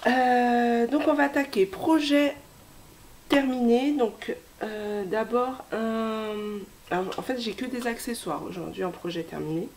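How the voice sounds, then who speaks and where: A woman in her thirties, hoarse from a cold, hosting a casual knitting vlog with many hesitations and soft asides. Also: on the high side, unhurried, slight background noise. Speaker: knitting podcast host.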